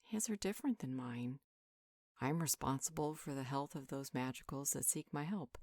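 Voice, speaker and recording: A woman's voice: rumbling low, moderate pace, a little mellow, dry recording. A woman playing a nurturing, protective magical healer, speaking in a soothing, affectionate, informal way in a roleplay audio drama. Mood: reassuring, reasoning.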